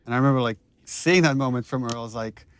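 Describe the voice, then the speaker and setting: A man's voice: medium pitch, fast talker, clean tone. Man giving casual analytical commentary. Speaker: game director and writer commenting on scenes. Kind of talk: casual behind-the-scenes commentary.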